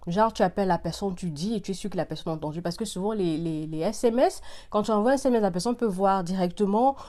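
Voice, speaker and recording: A woman's voice: mid-range voice, quick pace. Emphatic woman vlogger chatting to viewers. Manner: animated, emphatic, repeats phrases for insistence; mixes in English slang. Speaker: vlog host giving opinions to viewers. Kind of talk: casual direct-to-viewer chat.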